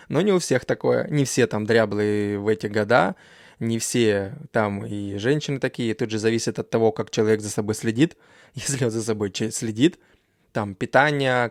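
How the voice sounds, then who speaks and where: A man's voice: mid-range voice, no echo. Man hosting reactions, casual slangy banter, dry close mic. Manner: dismissive, slangy, lots of fillers. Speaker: reaction video host.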